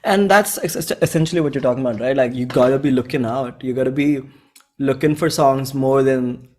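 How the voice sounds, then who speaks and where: A man's voice: medium pitch, fairly quick. A man, a musician talking informally and thinking aloud in an interview, often saying "like". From India. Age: 20s. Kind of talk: casual interview chat.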